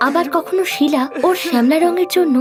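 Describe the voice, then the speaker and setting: A woman's voice: extremely high pitch, a little fast. A woman reading scripted narration for a cartoon fairy tale as its storyteller. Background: faint music.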